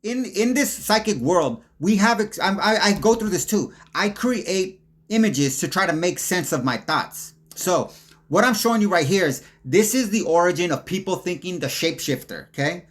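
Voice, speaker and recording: A man giving a rambling commentary over video clips, with a somewhat high voice. He is profane and aggressive and keeps jumping between thoughts. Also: lively intonation, moderate pace. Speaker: commentary host.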